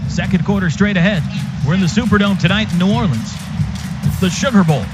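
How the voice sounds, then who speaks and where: A man's voice: high-pitched, a little fast, loud music behind the voice. A man doing live radio play-by-play of a football game in short, clipped present-tense phrases. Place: stadium radio booth. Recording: dull lo-fi radio broadcast, stadium noise. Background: clear stadium noise.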